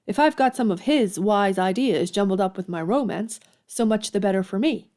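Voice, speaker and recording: A woman's voice: mid-range voice, moderate pace, mellow, lightly acted. A woman playing a headstrong young writer in a period audio drama, outspoken and emphatic. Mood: earnest.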